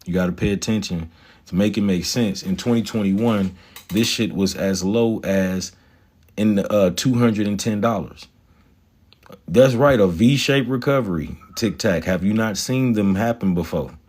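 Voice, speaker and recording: Swaggering low-voiced man talking stocks. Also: unhurried, darkish tone. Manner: swaggering, profane, slangy, talks to chat. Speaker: stock market livestreamer. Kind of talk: casual livestream chat about stocks.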